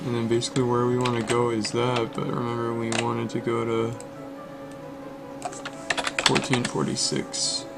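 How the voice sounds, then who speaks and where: A relaxed young man streaming, walking viewers through code in a flat, easygoing way with lots of filler words. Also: mid-range voice, moderate pace, vocal fry, long pauses. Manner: monotone, relaxed, lots of "like" and "basically". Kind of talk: casual coding stream walkthrough. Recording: music playing under the voice. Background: music and keyboard typing.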